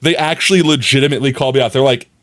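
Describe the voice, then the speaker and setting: A man's voice: mid-range voice, racing, a bit bright. A young man streaming live, chatting casually to his viewers with a slightly nasal voice, rambling and often giggling.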